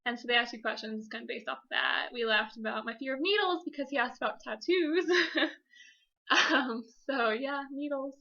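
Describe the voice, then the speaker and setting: A woman's voice: high voice, moderate pace, vocal fry, pushed and bright, lo-fi sound. A young adult woman telling a personal story casually as a vlogger, chatty, giggly and self-deprecating.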